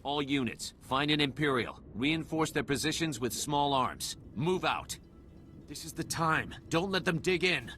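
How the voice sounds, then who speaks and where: An actor playing a wary, suspicious army sergeant in a video game, with a blunt, familiar manner. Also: male voice, on the high side, unhurried, sharp tone, soft background music. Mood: brisk, urgent.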